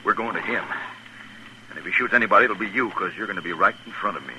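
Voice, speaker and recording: Casting: nervous American frontier schemer, sharp and tense, old radio drama with faint noise. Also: male voice, lowish voice, fast talker. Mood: threatening. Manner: tense, edgy, urgent. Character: shifty, nervous frontier gambler turned informer and schemer. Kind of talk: 1950s western radio drama acting. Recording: noisy vintage radio recording.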